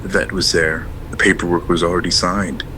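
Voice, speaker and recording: Casting: male actor as quiet reflective caller, very low voice, clear background noise on the line. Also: flat delivery, brisk, lightly acted. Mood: subdued. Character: subdued man caller, former horse-barn worker.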